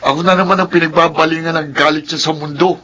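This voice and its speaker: Radio drama kid brother: Filipino teen, whiny, bright, higher-pitched. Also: male voice, steady tone, quick pace. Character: whiny, complaining younger brother, a teenager.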